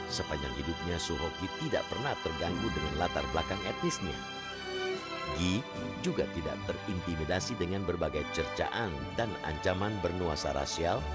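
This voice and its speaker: Deep-voiced male documentary narrator. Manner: measured, formal. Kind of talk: scripted narration. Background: loud music.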